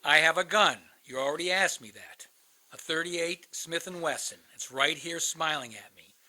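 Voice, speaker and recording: Actor as a bitter, sharp-edged sardonic man. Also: fairly high pitch, normal speed, poor audio. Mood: defiant, sardonic. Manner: blunt, challenging, sarcastic. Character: bitter, sardonic man, crisis counselor posing as suicidal caller. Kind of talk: radio play acting.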